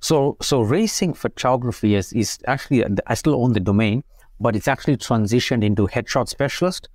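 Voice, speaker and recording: A man's voice: medium pitch, brisk, a little mellow, no echo, clean studio sound. A man from South Africa in his forties, a photographer and business owner, talking casually in an interview with plenty of fillers and repeated words.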